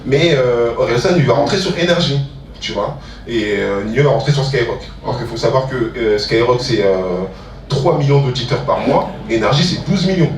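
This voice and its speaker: A man's voice: medium pitch, expressive, normal speed, slight background noise. Slangy man in a roomy hall. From Ivory Coast. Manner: slangy, emphatic, repeats phrases for stress. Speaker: music producer and entrepreneur, guest speaker. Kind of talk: informal public question-and-answer talk. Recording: roomy hall, distant mic, audience murmur.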